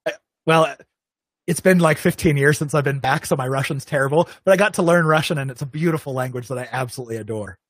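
A man from Arizona, a guest in an interview, giving relaxed, conversational advice and acting out what viewers might think. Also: fairly high pitch, fast talker, dry recording. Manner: relaxed, conversational, acts out imagined viewers' thoughts.

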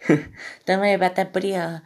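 Man putting on a high, wobbly Australian nice guy. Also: little pitch movement, normal speed, natural voice. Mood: gentle, apologetic. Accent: wobbly attempted Australian. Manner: hesitant, stammering, apologetic. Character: kindly, mild male Australian woodworking streamer, hesitant and humble.

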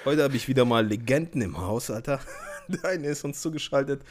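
A man's voice: medium pitch, fairly quick, noisy background. A man hosting a podcast in loose, slangy casual chat, laughing often as he talks. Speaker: podcast host.

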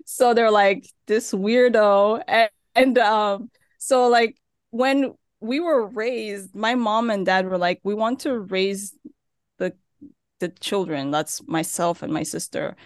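A woman's voice: medium pitch, slow pace, smooth, no echo. Chatty young woman on a dry mic. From Turkey. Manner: chatty, with frequent fillers and laughs. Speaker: podcast guest. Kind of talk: casual interview chat. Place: living in Nigeria.

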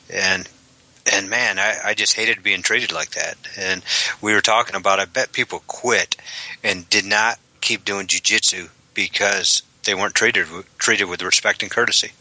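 A man's voice: deep voice, bright, forceful tone, no room sound, poor audio. A man co-hosting a casual podcast, easygoing and agreeable, telling stories at length. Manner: easygoing, agreeable, drawn-out storytelling. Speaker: podcast co-host.

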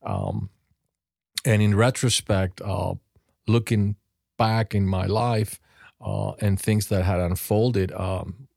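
Low-voiced man. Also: steady tone, takes it slowly, gravelly, darkish tone, no echo, studio quality. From Greece. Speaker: podcast co-host. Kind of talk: reflective personal discussion.

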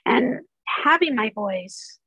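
Female interview host with a hoarse, raspy voice, earnest and candid. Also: a little high, lively intonation, pushed and bright, no echo, rough recording. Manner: earnest, candid, with quick asides. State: rough, hoarse voice. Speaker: podcast host. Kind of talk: conversational interview.

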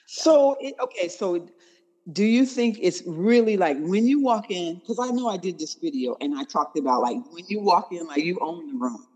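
A woman in her forties hosting a dating talk, frank and slangy, coaching with animated, blunt questions. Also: on the low side, normal speed, long reverb tail, poor audio. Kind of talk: casual chat.

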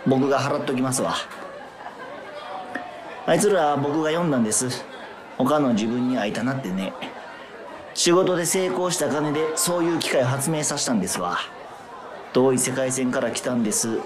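A man's voice: mid-range voice, animated, normal speed, long pauses, crowd noise, pitched below the performer's own voice, close to an everyday voice. A man playing an indignant loser with a Kansai accent in a comic radio drama, ranting in exasperated rhetorical questions. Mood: sheepish, resigned. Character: indignant loser man ranting at his parallel-world selves.

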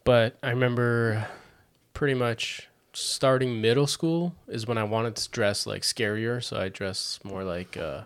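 A man's voice: mid-range voice, unhurried, clean tone, no room sound. Laid-back joking male podcast guest. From Montreal, Canada. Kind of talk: casual chat.

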